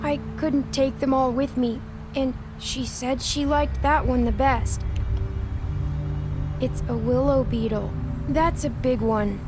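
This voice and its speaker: A woman's voice: sits high, steady tone, slow pace. A woman voicing a shy, curious little boy in a video game, earnest, childlike and a little anxious. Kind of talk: video game drama acting. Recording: music behind the voice.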